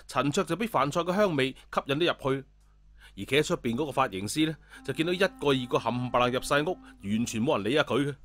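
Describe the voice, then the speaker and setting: A man narrating a scripted story in an animated storytelling style. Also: medium pitch, fast talker. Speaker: audiobook narrator. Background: background music.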